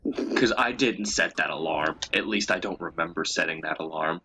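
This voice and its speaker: A man's voice: sits low, moderate pace, background noise. A young man chatting casually and telling stories as a co-host, in a crude, profanity-laden way. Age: young adult. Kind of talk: casual chat, storytelling.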